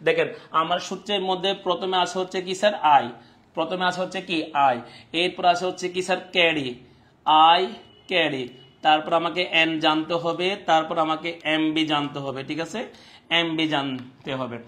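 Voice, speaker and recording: A man's voice: a little high, steady tone, normal speed, a bit bright. A man with a Bangladeshi accent teaching a live online class, repeating his points and often checking that students follow.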